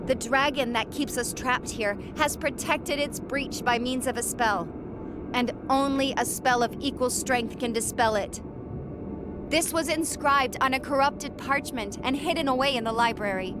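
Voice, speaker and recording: An actress playing a stern, wary guardian of a refuge in a video game, speaking formally and with command. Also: female voice, high-pitched, a little slow, heavy background noise. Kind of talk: video game cutscene acting.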